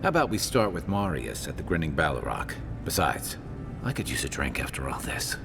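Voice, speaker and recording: Worldly fantasy game sidekick: deep pitch, dry, conversational, understated counsel. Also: male voice, sing-song, a little fast, creaky, music in the background, heavily acted. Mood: casual, dry. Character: dry, worldly male companion advising the envoy. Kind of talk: video game dialogue, conversational.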